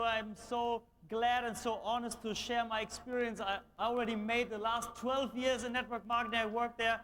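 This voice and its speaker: A man's voice: extremely high pitch, pushed and bright. German man speaking on a big stage. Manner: enthusiastic, slightly nonstandard English. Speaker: motivational speaker at a sales event. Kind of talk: stage speech. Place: large event hall on stage.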